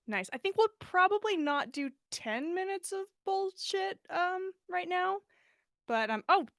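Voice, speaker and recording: A woman's voice: very high voice, lively intonation, unhurried, a bit bright, no echo, studio quality. A woman hosting a livestream, chatting casually and reading fan fiction aloud in a bubbly, self-deprecating way. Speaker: podcast host and story reader.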